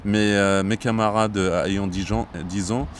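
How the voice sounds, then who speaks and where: A man in his thirties from Morocco, a border police captain, speaking in a measured interview with frequent hesitations. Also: on the low side, steady tone, a little hiss or hum. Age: 30s. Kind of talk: interview monologue. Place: outdoors near traffic.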